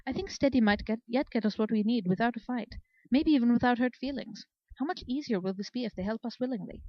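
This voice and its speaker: A woman's voice: on the high side, quick pace, dry recording, dull audio, natural voice. A woman voicing a seasoned, knowing male Pegasus soldier in a storybook, smirking and patient. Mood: knowing, amused.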